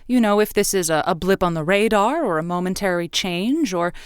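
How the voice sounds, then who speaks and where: A woman's voice: fairly low pitch, animated, fairly quick, clean tone, dry recording, clean studio sound, natural voice. A woman playing a playful, teasing radio advice-show host who rambles and hesitates, in a scripted audio drama.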